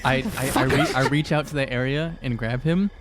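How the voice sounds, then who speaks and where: A man's voice: medium pitch, a little fast, noisy background. A man playing a tabletop game, talking casually and jokingly with the other players. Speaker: player on an actual play podcast.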